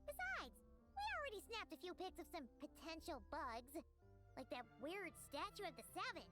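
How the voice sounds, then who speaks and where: A woman's voice: very high voice, very animated, normal speed, sharp tone, faint music behind, heavily acted. A woman voicing a small, cheeky, childlike fairy companion in a video game. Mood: cheerful.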